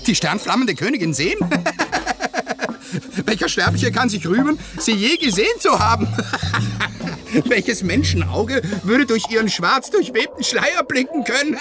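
A man's voice: very high voice, big pitch swings, slow, long pauses, full character voice. Cowardly boastful bird man, comic radio play, voice jumps all over, music behind. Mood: amused awe. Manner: comic, blustering, hesitant interjections. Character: jolly, boastful, cowardly bird catcher in feathers. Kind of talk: theatrical radio play acting.

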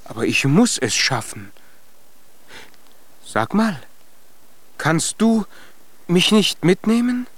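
A man's voice: sits high, sing-song, takes it slowly, pauses often, no echo, full character voice. An actor in a children's radio play voicing a tiny, plucky boy giant who is eager and boastful, with an earnest, exclaiming delivery. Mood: eager, determined.

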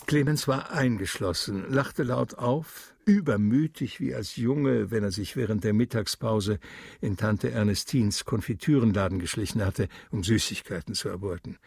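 Gravelly male storyteller. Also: on the low side, normal speed, darkish tone, no echo, clean studio sound. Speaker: narrator. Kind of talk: literary radio drama narration.

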